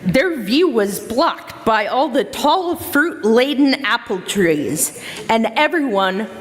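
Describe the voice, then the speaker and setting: Slow, expressive woman narrator. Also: fairly high pitch. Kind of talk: scripted storybook narration for readers theater. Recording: reverberant hall.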